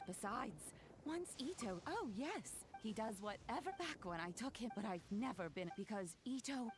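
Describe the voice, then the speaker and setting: Kindly old granny, noisy background. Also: female voice, fairly high pitch, lively intonation, unhurried. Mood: fond. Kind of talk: video game voice acting.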